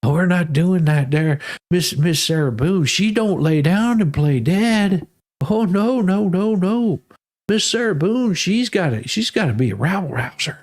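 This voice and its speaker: Guy doing a stubborn lady jailbird, boastful n indignant, drawly, pitched up, comedy reading. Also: lively intonation, unhurried, darkish tone, heavily acted. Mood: defiant, indignant. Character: defiant, boastful rabble-rousing woman inmate, folksy and stubborn.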